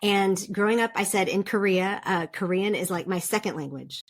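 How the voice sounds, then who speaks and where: A woman's voice: mid-range voice, moderate pace. A middle-aged woman talking as a podcast guest, chatting casually and laughing often while she explains with examples. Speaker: podcast guest, DISC consultant. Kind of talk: casual interview chat.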